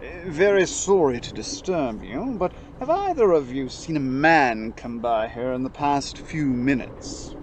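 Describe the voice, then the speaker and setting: A man plays a gruff, dogged police detective in an audio drama. He takes his time, with a casual sardonic edge and lively swings in pitch, over faint noise. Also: a little slow, hardly any pauses. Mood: firm, official. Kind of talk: amateur audio play acting. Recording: faintly noisy home-device recording.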